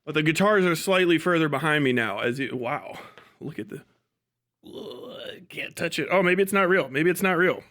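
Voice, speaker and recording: A man livestreaming in casual chat, mocking and animated, swearing freely as he rants. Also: a little high, moderate pace. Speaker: live streamer.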